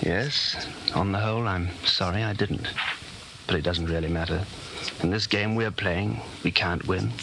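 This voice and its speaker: An actor playing a weary, fatalistic, haunted man in a secret love affair, in grave, measured 1940s radio drama style. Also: low voice, vocal fry. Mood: resigned. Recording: noisy old 1940s radio recording.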